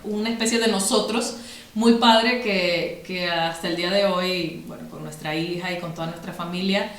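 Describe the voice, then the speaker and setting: A woman's voice: lowish voice, fairly even intonation, normal speed, a bit bright, reverberant. A woman with a Cuban accent, a podcast guest talking reflectively with many fillers and restarts. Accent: Cuban Spanish. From Cuba. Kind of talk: conversational interview.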